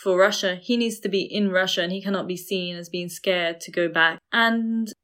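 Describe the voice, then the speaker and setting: A woman's voice: lowish voice, steady tone, normal speed, fairly bright tone. A woman in a casual discussion, talking in an explanatory, opinionated way. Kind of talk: casual discussion of books and current affairs.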